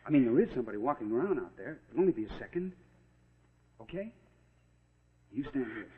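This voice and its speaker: An actor playing an exasperated, flustered husband in 1940s suspense television style. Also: male voice, medium pitch, big pitch swings, a little fast, a little hiss or hum, close to an everyday voice. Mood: hesitant. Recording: tinny, band-limited 1949 television soundtrack.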